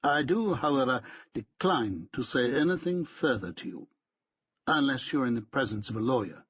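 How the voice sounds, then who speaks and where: A pompous, stiff barrister, as a male audiobook reader puts him on, speaking in a haughty, clipped, precise way. The voice is a little husky. The sound is dull and lo-fi. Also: mid-range voice, animated, normal speed, a bit bright, dry recording.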